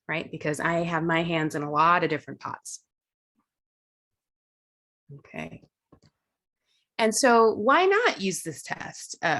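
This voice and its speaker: A woman instructor walks colleagues through her course in an online workshop. Her voice sits a little low, and her talk is casual and thinking-aloud, with fillers and small restarts. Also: fairly bright tone. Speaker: instructor presenting at a teaching workshop. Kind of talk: workshop presentation.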